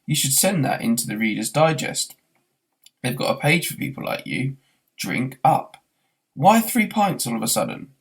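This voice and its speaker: A man's voice: mid-range voice, sing-song, normal speed, gravelly. A man voicing a bewildered, dryly sarcastic everyman in a storybook, baffled and questioning.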